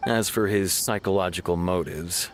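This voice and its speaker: A man playing a stern, formal city officer in a video game, speaking in a measured, earnest way. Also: low voice, steady tone, a little hiss or hum, natural voice. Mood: frustrated, official.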